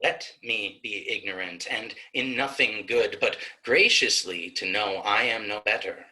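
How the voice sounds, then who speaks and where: Slow, intense devout young woman played by a man. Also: fairly low pitch, sharp tone, poor audio. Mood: earnest, resolute. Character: devout, steadfast young woman pleading for her condemned brother. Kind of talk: Shakespearean staged reading.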